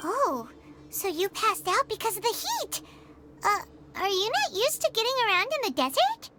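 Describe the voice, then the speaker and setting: A woman voicing a tiny, excitable, bossy but caring childlike fairy companion in a video game, with a squeaky, exclamatory delivery. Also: moderate pace, heavy background noise. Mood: worried. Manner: exclamatory, expressive. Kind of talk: English video game voice acting. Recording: music behind the voice.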